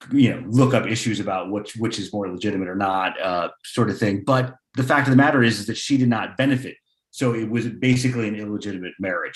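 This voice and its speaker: A man with a fairly low voice explains something informally as a panelist. His voice rings with clear room echo. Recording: echoey room.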